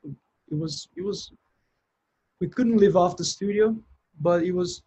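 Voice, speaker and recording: A man's voice: high voice, measured pace, mellow, slightly rough. A man with a Brazilian accent, a sound engineer, telling his story in a casual interview and rambling with many restarts. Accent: Brazilian Portuguese. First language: Portuguese.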